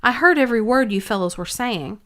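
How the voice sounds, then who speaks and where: Storybook dormouse, played by a woman, drowsy, weak, a little hoarse. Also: on the high side, lively intonation, brisk, sharp tone. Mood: feeble, defensive. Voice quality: hoarse, feeble. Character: sleepy, feeble dormouse telling a story.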